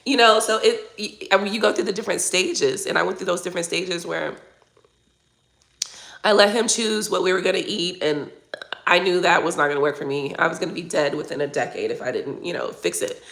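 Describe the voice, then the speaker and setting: A woman in her forties talks to her live-stream viewers in a loose, chatty way, cursing now and then. Her voice has a gravelly fry at the bottom. She is under the weather and keeps clearing her throat. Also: lowish voice, brisk, fairly bright tone. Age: 40s. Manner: chatty and candid, swears casually. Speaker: tarot reader hosting a live stream. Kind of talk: casual chat to viewers, reading comments.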